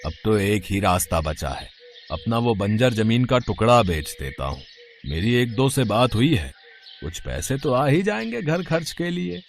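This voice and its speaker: A man's voice: mid-range voice, big pitch swings, measured pace, gravelly. Man voicing a resigned older village father, noisy backing.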